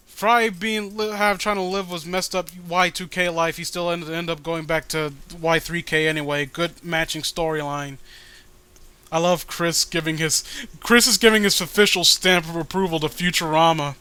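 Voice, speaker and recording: A man's voice: on the high side, fairly even intonation, moderate pace, bright, forceful tone, clean tone. A man giving casual, rambling and profane commentary as he co-hosts a read-along. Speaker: co-host of a comic read-along video.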